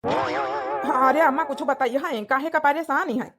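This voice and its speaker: A woman's voice: high-pitched, steady tone, measured pace, music in the background. A woman voicing a doting, reassuring young village groom in a cartoon, coaxing and repetitive, with an Awadhi accent. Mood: curious, concerned. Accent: Awadhi, rural north India. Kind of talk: cartoon comedy dialogue.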